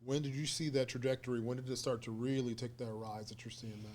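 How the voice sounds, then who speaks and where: A man's voice: mid-range voice, flat delivery, fast talker, darkish tone. A man co-hosting a podcast, asking curious, probing questions. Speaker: podcast co-host. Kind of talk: casual podcast conversation.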